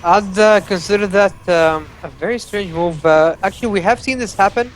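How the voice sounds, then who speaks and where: A man with a notably high voice calls a live strategy game play by play. He speaks in a measured way, with many "uh" fillers, over a faint hiss. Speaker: esports co-caster.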